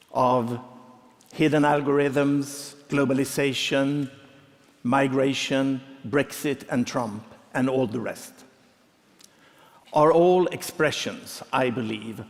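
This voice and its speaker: A middle-aged man speaks on stage in short, measured phrases. His pace is unhurried, almost stately. The sound carries the long echo of a large hall. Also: medium pitch. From Sweden. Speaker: conference speaker. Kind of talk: prepared talk on stage.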